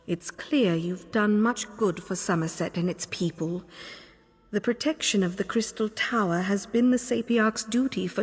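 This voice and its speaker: An actress playing a proud, formal scholar and guardian in a fantasy game, speaking in a measured, dignified way. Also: female voice, mid-range voice, breathy edge. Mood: contrite, reluctant. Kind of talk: fantasy video game voice acting. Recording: long hall reverb, music behind.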